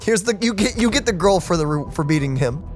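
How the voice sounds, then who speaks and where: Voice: medium pitch, lively intonation, fast talker, over music. A let's play streamer giving casual, playful, reactive game commentary and reading dialogue aloud.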